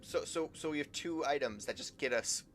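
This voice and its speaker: A man doing casual live gameplay commentary as a streamer, halting and repeating words as he thinks aloud. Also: a little high, fairly bright tone, slight background noise. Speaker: game streamer. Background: game music.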